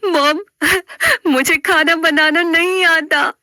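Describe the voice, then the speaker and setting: Lady doing a timid young daughter-in-law, really high voice, meek n hesitant, storybook drama. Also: fairly even intonation, slow pace, bright, forceful tone, dry recording, studio quality, exaggerated voice. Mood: tearful pleading.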